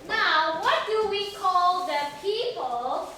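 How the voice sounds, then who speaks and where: A woman's voice: very high-pitched, lively intonation, takes it slowly, sharp tone. A woman teaching an English lesson to a young class, asking simple questions, repeating words and praising answers in an encouraging way. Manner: simple instructional phrasing with questions and repetition. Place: echoey classroom, mic at a distance. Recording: very echoey room, distant mic, faint noise.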